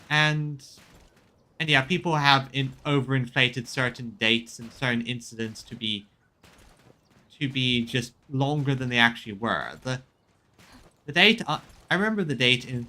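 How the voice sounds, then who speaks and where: A man streaming a game, chatting casually in a halting way, often repeating and rephrasing himself mid-sentence. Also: mid-range voice, pushed and bright. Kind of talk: casual chat commentary on a game. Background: music in the background.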